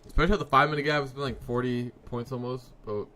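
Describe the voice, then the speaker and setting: A man in his early twenties hosting a live trading stream, chatting casually with viewers in a laid-back, slangy way and letting his sentences trail off quietly. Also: mid-range voice, steady tone, fast talker. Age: 20s. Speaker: livestream trading host. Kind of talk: casual live-stream chat reading comments.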